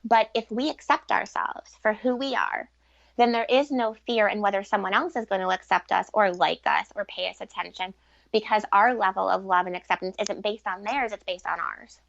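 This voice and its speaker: Woman with creaky voice, muffled recording. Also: medium pitch, fairly even intonation, normal speed, fairly bright tone, no echo. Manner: earnest, fluent, long explanations. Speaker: guest relationship expert and author. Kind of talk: conversational explanatory interview answers.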